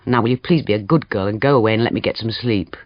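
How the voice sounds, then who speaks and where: A man reading as a cold, weary man done with his lover, in an audiobook story. He speaks low, curt and condescending, with scorn in every phrase. The sound is dry and slightly dull. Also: fast, dry recording, natural voice. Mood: weary, dismissive.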